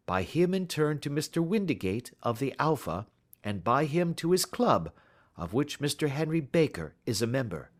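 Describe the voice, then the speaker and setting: A man reading aloud and voicing a calm, clever Victorian detective who speaks blandly and courteously. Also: on the high side, pitched above the performer's own voice.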